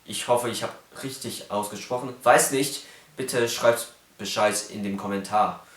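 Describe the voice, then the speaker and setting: A man's voice: lowish voice, measured pace, a bit bright, echoey room. A young man whose first language is Cantonese, speaking with a Cantonese accent as he explains phonetics in a casual vlog and carefully demonstrates sounds.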